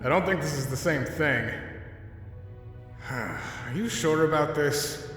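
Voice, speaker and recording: A man's voice: on the high side, lively intonation, slow pace, a bit bright. A man voicing a wry, protective, laid-back skeleton brother in a dramatic comic dub, casual and given to dry understatement. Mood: wry, reluctant. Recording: echoey hall reverb, faint music.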